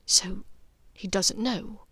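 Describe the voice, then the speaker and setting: A woman voicing a proud, sarcastic young man in an audiobook, with blunt, halting, emphatic delivery. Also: medium pitch, steady tone, sharp tone, husky, no room sound, exaggerated voice. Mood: anxious, relieved. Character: proud, bitter young wizard son, sarcastic.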